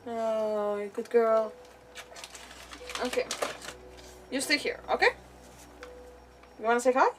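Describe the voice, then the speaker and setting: A woman's voice: fairly high pitch, slow pace, long pauses, distant mic. A woman chatting casually and playfully as she livestreams a game. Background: faint game music.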